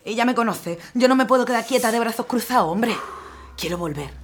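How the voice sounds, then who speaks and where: A woman's voice: a little high, animated, a little fast, a little hiss or hum, natural voice. An actress playing a stubborn, teasing young militia woman. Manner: determined, teasing.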